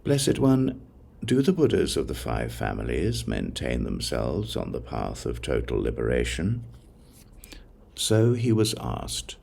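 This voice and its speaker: An extremely low-voiced man narrates a sacred text, his voice thick with gravelly fry. He reads slowly and solemnly, setting phrases apart with deliberate pauses in a measured recitation. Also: huge pitch swings, a little mellow. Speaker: audiobook narrator. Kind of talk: scripted narration of a religious text.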